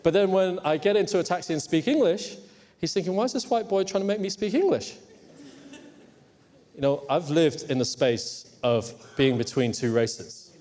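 A man's voice: fairly high pitch, expressive, normal speed, a little mellow. A man from Hong Kong preaching a sermon emphatically, teasing his congregation at times. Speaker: pastor. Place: church. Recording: long hall reverb.

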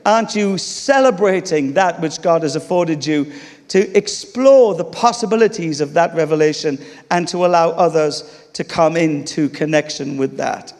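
A man's voice: a little high, normal speed. A man with an English accent preaching a sermon, telling a funny story with laughs before turning to teaching. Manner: humorous, animated storytelling with laughter. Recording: long reverb of a large hall.

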